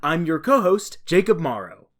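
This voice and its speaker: A man's voice: on the high side, big pitch swings, moderate pace, a bit bright. Casually rambling male podcast co-host. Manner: rambling, self-deprecating asides; chuckles at own remarks. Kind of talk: casual solo podcast talk explaining a researched topic.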